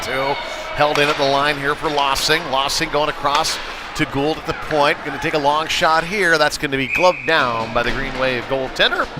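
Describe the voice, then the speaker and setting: A man doing live play-by-play of youth ice hockey in a conversational way, with filler words and casual asides. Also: on the high side. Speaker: play-by-play sports commentator. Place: indoor ice rink. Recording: noisy live rink broadcast.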